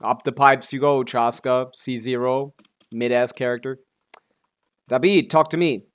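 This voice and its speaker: A man's voice: medium pitch, fairly even intonation, a little slow, clean tone. Man casually muttering through a game stream. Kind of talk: casual live gameplay commentary.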